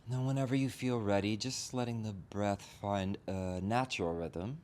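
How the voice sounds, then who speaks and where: A man leading a guided meditation as a teacher, gently instructing in short, spaced phrases. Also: normal speed. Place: group meditation session in a room. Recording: background rumble and noise.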